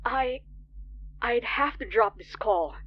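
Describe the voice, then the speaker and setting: An anxious late-night radio DJ speaks in a fairly high, hesitant voice, polite yet wary. The horror audio drama recording is slightly dull. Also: female voice, animated, takes it slowly. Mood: hesitant, worried.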